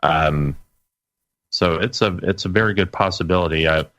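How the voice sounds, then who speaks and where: Deep-voiced man in his late forties. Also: normal speed, a bit bright, no echo. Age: late 40s. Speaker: guest, internet radio broadcaster. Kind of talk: casual interview chat.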